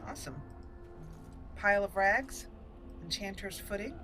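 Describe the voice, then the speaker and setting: A woman's voice: medium pitch, animated, measured pace, heavy background noise. A woman hosting a let's-play, chatting casually through her gameplay with giggles and self-mocking asides. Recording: game music behind the voice.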